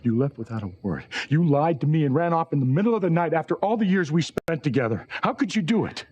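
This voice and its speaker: A man acting as a wounded, protective former lover in a soap opera, with a husky voice. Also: medium pitch, sing-song, moderate pace, muffled recording. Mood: hurt, insistent.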